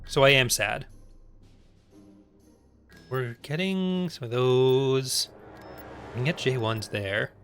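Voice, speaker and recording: A man's voice: medium pitch, slow, long pauses, fairly bright tone, soft background music. A man hosting a gaming video with casual, rambling, wryly humorous playthrough commentary.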